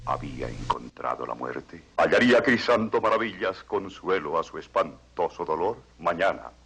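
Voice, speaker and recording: Deep, swooping melodramatic male serial narrator. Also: animated, normal speed, gravelly, pushed and bright, slightly rough.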